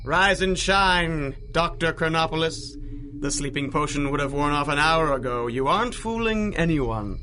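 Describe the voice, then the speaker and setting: An actor playing a malevolent, pompous sorcerer villain with theatrical menace in a pulp radio drama. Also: male voice, on the high side, expressive, moderate pace, bright, forceful tone, a little hiss or hum. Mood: commanding. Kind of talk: radio drama acting in 1930s pulp style.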